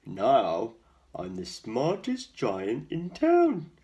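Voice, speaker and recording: A kind and proud storybook giant, acted by a man, full of good cheer. The delivery is hearty and sing-song, swinging widely in pitch with unhurried words. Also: wildly swooping pitch, dark tone, full character voice.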